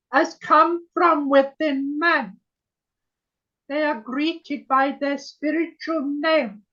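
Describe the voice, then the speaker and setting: A trance medium channeling a gentle female spirit sister on a video call, speaking in a solemn, old-fashioned way and calling everyone my dear. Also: high voice, lo-fi sound, full character voice. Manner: slow, solemn, archaic phrasing, keeps saying my dear.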